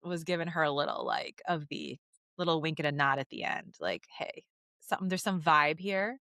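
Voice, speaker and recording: A woman's voice: low voice, moderate pace, sharp tone, no echo, studio quality. A woman co-hosting a podcast with playful, giggly, casual comedic banter. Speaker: podcast co-host.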